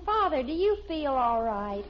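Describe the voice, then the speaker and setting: Very high girlish daughter. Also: very animated, unhurried. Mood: curious. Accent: American. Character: nosy, blunt young daughter of the family. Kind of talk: 1950s radio sitcom acting. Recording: muffled, very noisy old radio recording.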